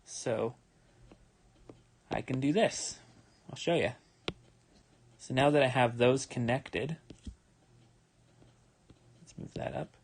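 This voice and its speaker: A tired young man with a relaxed, rambling manner, talking casually with many fillers as he draws live and explains his steps. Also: mid-range voice. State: tired, yawning. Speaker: manga artist streaming his drawing. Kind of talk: casual livestream commentary while drawing.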